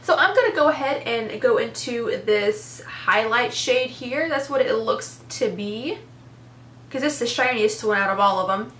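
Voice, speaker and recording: Stuffy-nosed young woman gushing about makeup, voice a bit high, kinda echoey room. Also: unhurried, a bit bright, a little hiss or hum. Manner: chatty, enthusiastic, lots of "super" and "very". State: congested. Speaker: beauty vlogger. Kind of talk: casual product review chat.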